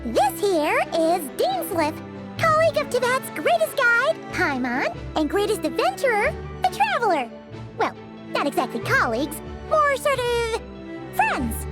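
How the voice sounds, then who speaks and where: A tiny, bossy fairy companion, speaking in a very high, sing-song game voice. Her pitch leaps all over the place as she chatters on. Soft game music plays faintly behind her. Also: huge pitch swings, a little slow, exaggerated voice. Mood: chirpy. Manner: refers to herself in the third person, bubbly. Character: tiny floating female companion, chirpy and bossy. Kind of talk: video game dialogue.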